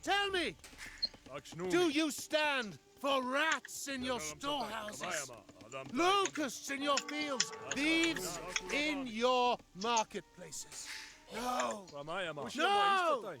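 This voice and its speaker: Male, very high-pitched ancient Greek rebel leader; slow, grandiose game oratory over loud music. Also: big pitch swings, exaggerated voice. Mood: rousing. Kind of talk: theatrical video game voice acting.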